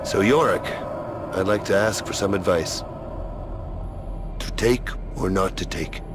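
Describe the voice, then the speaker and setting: An actor playing a tough, sardonic soldier hero in a video game, speaking in a dry, deliberate, menacing way. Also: male voice, low-pitched. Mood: wry. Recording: loud music over the voice.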